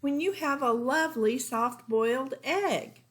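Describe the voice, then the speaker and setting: A woman voicing a genial, mild storybook father with a measured, gently questioning manner. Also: sits high, voice pushed up.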